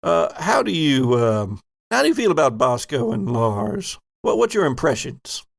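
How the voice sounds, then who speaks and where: A man voicing a nervous male ship captain who asks hesitant, halting questions in a tabletop game. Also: on the high side, big pitch swings, gravelly, heavily acted. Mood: uneasy.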